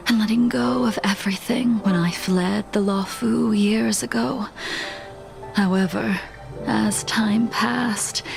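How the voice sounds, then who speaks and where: A woman playing a calm, weary swordswoman haunted by vengeance in a video game, speaking softly and solemnly with measured delivery. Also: mid-range voice, vocal fry. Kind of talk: dramatic video game voice acting. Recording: background music over game dialogue.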